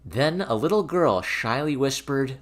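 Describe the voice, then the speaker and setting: A man reading a fairy tale aloud as an expressive, theatrical storybook narration. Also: medium pitch, measured pace. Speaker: storyteller, reader of fairy tales.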